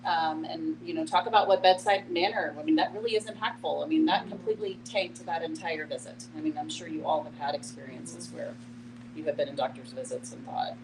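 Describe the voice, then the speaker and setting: A woman's voice: low voice, fairly quick. A woman moderating a casual group discussion in a conversational way, repeating words for emphasis. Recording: clear background noise and hum.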